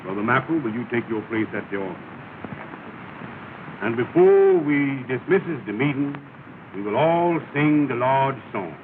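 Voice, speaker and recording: A pompous, solemn lodge leader acted by a man in a vintage comedy film. He hands out orders grandly in folksy, ungrammatical dialect. The soundtrack is thin, narrow and clearly noisy. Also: mid-range voice, animated, moderate pace, close to an everyday voice. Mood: ceremonious. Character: solemn, self-important lodge leader giving instructions. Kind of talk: 1930 film comedy acting.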